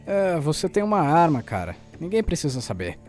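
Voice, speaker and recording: A man's voice: fairly high pitch, sing-song, a little fast, a little mellow, soft background music. A Brazilian man acting in an audio drama as a bold, determined journalist who talks in an insistent, colloquial way. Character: determined male journalist, bold, stubborn investigator.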